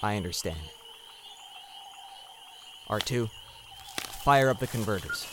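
An actor playing an earnest, headstrong young rebel apprentice in an audio drama, with a pleading manner. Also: male voice, lowish voice, expressive, takes it slowly, noisy background. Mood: determined.

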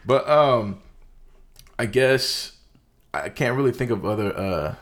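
Slangy, animated young man. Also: medium pitch, slow pace. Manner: loose, slangy, animated, lots of fillers. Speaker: podcast co-host. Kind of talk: casual chat about movies.

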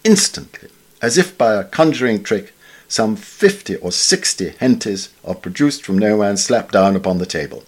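Deep-voiced British man reading essays. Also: lively intonation, moderate pace. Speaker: reader of newspaper essays. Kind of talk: scripted literary essay reading.

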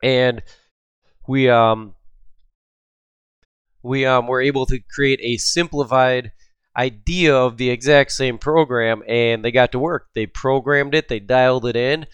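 A man's voice: medium pitch, fairly even intonation, measured pace, fairly bright tone, smooth, no room sound. Upbeat man with a stuffed-up voice. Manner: chatty and upbeat, with frequent ums. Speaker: podcast host. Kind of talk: casual solo podcast talk.

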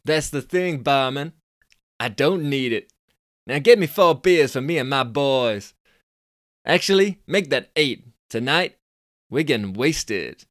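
A man's voice: medium pitch, expressive, slow, no echo, studio quality, voice pushed up. A man voicing a brash young American snowboarding champion with a put-on Californian accent and a boastful surfer-dude drawl. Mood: cocky.

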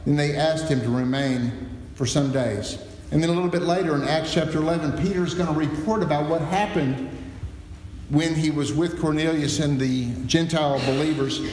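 A man's voice: on the high side, normal speed, darkish tone, slight background noise. A middle-aged man preaching a sermon and reading scripture, earnest and teacherly. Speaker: pastor. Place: church sanctuary. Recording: very echoey hall, long reverb.

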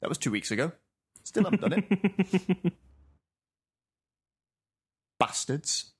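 A man's voice: a little high, sing-song, slow, slightly rough. A man co-hosting a casual podcast chat, wry and laughing through his words. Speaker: podcast co-host.

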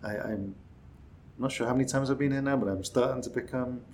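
A man hosting an interview, wrapping up the chat in a relaxed and courteous way. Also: mid-range voice, a little fast, a little hiss or hum. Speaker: podcast host.

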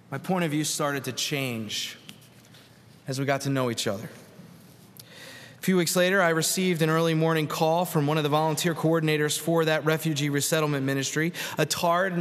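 A man's voice: on the high side, fairly bright tone. Earnest preaching man in a reverberant sanctuary. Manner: earnest storytelling with pauses and repetitions. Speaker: pastor. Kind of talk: sermon. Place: church sanctuary. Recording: long hall reverb.

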